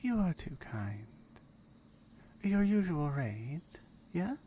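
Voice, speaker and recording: A woman voicing a firm, dominant tiger girl guarding her jungle home in a menacing, deliberate ASMR roleplay. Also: low-pitched, very animated, dark tone, full character voice. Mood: calm, firm. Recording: clear background noise with chirping crickets.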